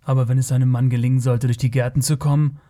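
A man's voice: medium pitch, little pitch movement, quick pace, warm tone, lightly acted. This is a man playing a young northern barbarian in a fantasy audiobook. He is blunt, proud and a little naive. His speech is curt and earnest. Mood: argumentative.

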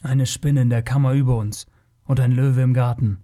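A man voicing a hardy young barbarian thief in a fantasy story, with a low, terse, muttering delivery. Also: fairly even intonation, normal speed, mellow, lightly acted.

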